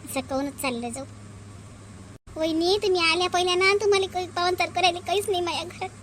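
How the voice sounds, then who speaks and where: A woman's voice: extremely high pitch, steady tone, fast talker, a bit bright, a little hiss or hum. A woman voicing a village sister-in-law in a family cartoon, with a rural Vidarbha Marathi accent and an emphatic, plaintive, repetitive manner. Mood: worried, indignant. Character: two village sisters-in-law, aggrieved young wife and protective visitor. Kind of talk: melodramatic cartoon family dialogue.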